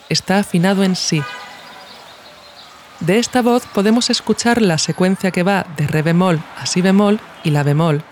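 A woman's voice: medium pitch, slight background noise. A woman from narrating a scripted documentary podcast as its host.

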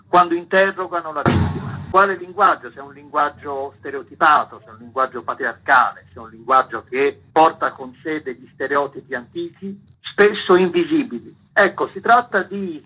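Male author guest, somewhat high and rough-edged, articulate explainer who repeats for emphasis. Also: pushed and bright, low-quality recording. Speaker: book author, interview guest. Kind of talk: explanatory interview talk.

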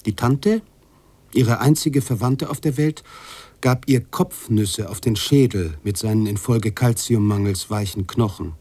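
An actor playing a weary, brooding writer who narrates a literary radio drama in a musing, halting, husky way. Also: male voice, fairly low pitch, no echo. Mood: somber, narrating. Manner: musing, halting, drops into hushed tones. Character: weary, brooding bearded male writer narrating his story.

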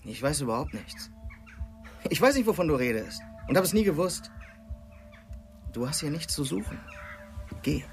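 Cornered evasive man, high pitch, very animated intonation, hostile, stonewalling, radio play. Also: moderate pace, pauses often, soft background music. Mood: dismissive, cold. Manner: dismissive, stonewalling. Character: cornered, evasive former informer, hostile old friend. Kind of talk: German radio drama acting.

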